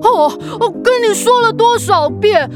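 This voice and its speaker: Crybaby witch aunt for children's radio play: high, unhurried, huffy, stammering, easily flustered. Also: animated, loud music behind the voice. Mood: huffy, defensive. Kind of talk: children's audio drama acting.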